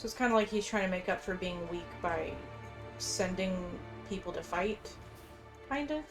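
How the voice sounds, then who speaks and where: A woman's voice: lowish voice, expressive, moderate pace. A woman giving casual commentary on a video game playthrough, musing aloud informally as she plays. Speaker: gamer recording a playthrough. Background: game music.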